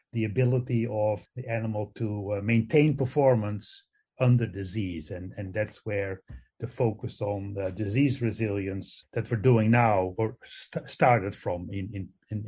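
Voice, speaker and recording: An older man from the Netherlands with a Dutch accent, a professor answering interview questions, pausing often with fillers and restarts. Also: lowish voice, darkish tone, slightly rough. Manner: hesitant, many fillers and restarts. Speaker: guest, professor of animal genetics. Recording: dull lo-fi sound.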